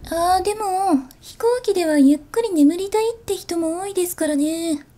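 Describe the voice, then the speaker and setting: Woman acting a high-voiced, mellow zombie bunny stewardess. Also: moderate pace. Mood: politely teasing. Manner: soft, formal customer-service politeness. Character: polite, teasing female zombie bunny flight attendant. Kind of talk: Japanese ASMR situation voice drama.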